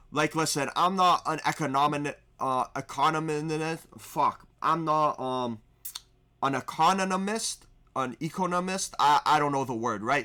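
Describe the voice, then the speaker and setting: A man chatting casually to his stream as he reacts to a clip. His voice sits a little high and comes across bright and forceful. He rambles, restarts and trails off.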